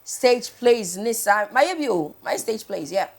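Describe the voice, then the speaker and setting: A woman's voice: fairly high pitch, slow, vocal fry. A woman with a Ghanaian accent chatting casually as a morning TV host, switching between two languages. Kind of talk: casual TV chat, code-switching.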